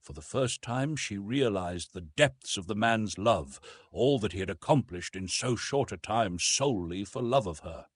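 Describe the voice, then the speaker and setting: A man with a slightly husky voice narrating a classic adventure novel in an expressive, carefully phrased, dramatic storytelling style. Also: on the low side, normal speed, no echo. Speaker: audiobook narrator.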